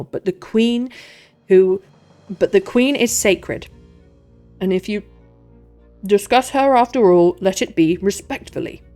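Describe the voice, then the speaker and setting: A posh, self-important male swordsman, voiced by a woman reading a novel aloud. The sound sits at the front of the mouth with pushed-out lips, loud and preachy. Faint music plays underneath. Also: mid-range voice, close to an everyday voice. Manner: lofty, lecturing, loud. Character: posh grand superior male musketeer. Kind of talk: audiobook read-along with voices.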